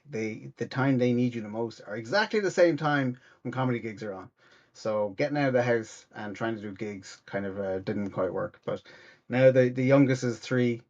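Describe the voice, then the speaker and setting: A man's voice: fairly low pitch, a little mellow, lo-fi sound. A man in his thirties with an Irish accent, a comedian chatting as a podcast guest, rambling with many restarts. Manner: rambling, many restarts, acts out quoted voices. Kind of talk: casual interview chat.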